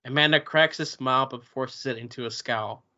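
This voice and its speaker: A man with a mid-pitched voice reads stage directions. It is scripted narration for a play, recorded with a slightly dull sound. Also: normal speed, pushed and bright.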